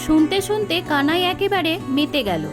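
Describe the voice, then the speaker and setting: A woman narrating a children's folk tale in an expressive, dramatic storytelling manner. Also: very high voice, normal speed, music in the background.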